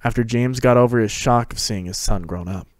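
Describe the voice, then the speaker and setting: A man's voice: mid-range voice, a little fast, dry recording, clean studio sound. A man giving a scripted dramatic reading of a fantasy story as narrator. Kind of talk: dramatic reading of fan fiction.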